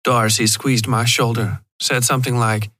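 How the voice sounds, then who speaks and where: A man's voice: mid-range voice, little pitch movement, moderate pace, bright, forceful tone, dry recording. A man reading scripted first-person horror fiction as a podcast narrator, close to the microphone. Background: faint music.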